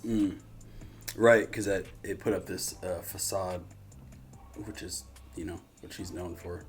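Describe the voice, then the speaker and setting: A man's voice: sits low, fairly even intonation, a little slow, soft background music. A man hosting a YouTube reaction channel, talking casually in an informal, rambling, slangy way.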